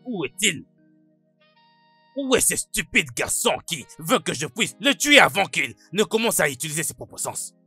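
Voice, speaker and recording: Man acting a domineering, sharp, rough-voiced father. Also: medium pitch, big pitch swings, moderate pace, long pauses, faint music behind, exaggerated voice. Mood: furious, threatening. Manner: domineering, confrontational. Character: stern, menacing middle-aged father forbidding his daughter's romance. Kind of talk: dubbed melodrama acting.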